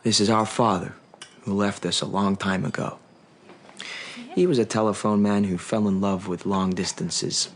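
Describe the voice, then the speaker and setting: A man acting a low-voiced, wistful stage narrator son. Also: fairly even intonation, slight background noise, lightly acted. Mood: wistful, measured. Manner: poetic, measured, addressing the audience. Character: wistful young American son narrating his memory play. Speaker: actor playing a narrator. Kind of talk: theatrical radio drama monologue.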